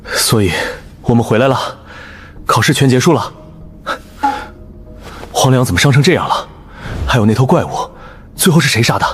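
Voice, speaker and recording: A man playing a mild, respectful junior teammate who explains things politely in an animated drama. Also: medium pitch, normal speed, long pauses, close to an everyday voice. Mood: curious, explaining.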